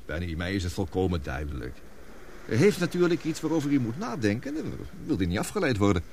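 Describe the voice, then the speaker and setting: A man acting in a radio play as a sceptical, loyal colleague, questioning and excitable. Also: lowish voice, fast, slight background noise. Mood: casual, hesitant. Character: sceptical, loyal male colleague, easily indignant. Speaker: radio drama actor.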